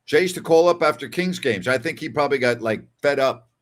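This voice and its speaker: A man's voice: medium pitch, fairly quick, fairly bright tone. An older man hosting a casual sports call-in show, talking in a conversational, emphatic way and repeating phrases for emphasis. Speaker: sports talk show host. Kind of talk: casual call-in show chat reading listener messages.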